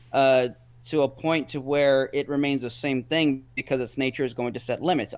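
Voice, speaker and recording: A man's voice: medium pitch, no room sound. A man as a guest in a casual debate, rambling and repeating words as he reasons step by step.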